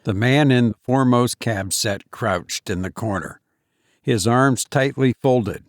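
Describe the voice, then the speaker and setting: A man's voice: medium pitch, measured pace, no room sound, clean studio sound. A man reading a story aloud as scripted audiobook narration. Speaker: audiobook reader.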